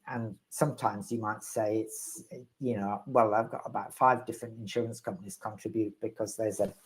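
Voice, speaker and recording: A man's voice: fairly low pitch, a little mellow, poor audio. A man answering questions as the resident expert in a live webinar, rambling and wry, with self-deprecating humor.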